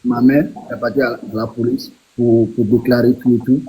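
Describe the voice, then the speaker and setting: A man on a live stream, telling how his younger sister went missing, speaking haltingly and repeating words. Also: medium pitch, level tone, mellow, rough recording.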